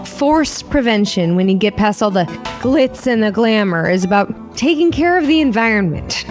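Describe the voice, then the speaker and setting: An actress playing a condescending, smooth-talking corporate regional coordinator in an audio dramedy, brisk and bureaucratic in manner. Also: female voice, medium pitch, lively intonation, close to an everyday voice. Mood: persuasive. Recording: music behind the voice.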